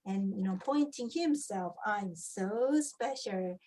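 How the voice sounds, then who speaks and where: A woman with a Korean accent, a native Korean speaker, teaching how to read picture books aloud and asking expressive questions as if to children. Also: mid-range voice, slow pace. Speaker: English teacher, children's book reader. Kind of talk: picture book read-aloud demonstration with tips.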